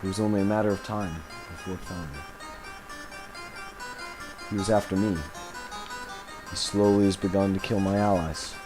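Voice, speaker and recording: A man playing an embattled villain recording a grave confessional audio log, speaking haltingly and solemnly with dramatic pauses. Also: deep voice, steady tone, music in the background, natural voice. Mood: ominous, resigned.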